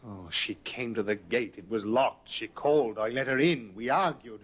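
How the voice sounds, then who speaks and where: Man acting a cornered farmer suspect, husky, evasive, defensive, muffled old radio drama recording. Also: medium pitch, moderate pace, natural voice. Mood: flustered.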